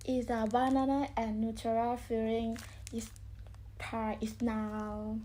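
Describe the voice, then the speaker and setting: A woman speaking simple, halting in a casual food vlog. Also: a little high, little pitch movement, warm tone, a little hiss or hum. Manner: simple, halting non-native English. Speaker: food vlogger.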